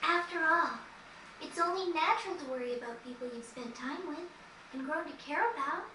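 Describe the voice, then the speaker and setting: Casting: very high, sweetly earnest girl of fourteen, consoling, game cutscene; echoey, noisy recording. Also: fairly quick, recorded from a distance. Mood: reassuring. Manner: soft-hearted, sincere, earnest. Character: gentle, accepting fourteen-year-old girl, sickly yet consoling. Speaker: voice actor in a fantasy game. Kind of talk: dramatic game cutscene dialogue.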